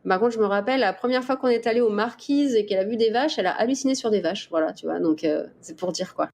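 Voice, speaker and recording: A middle-aged woman from the Paris region answering interview questions in a casual, explanatory way with hesitant pauses. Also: mid-range voice, darkish tone. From Paris region, France. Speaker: interview guest, dolphin researcher. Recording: clear background ambient noise.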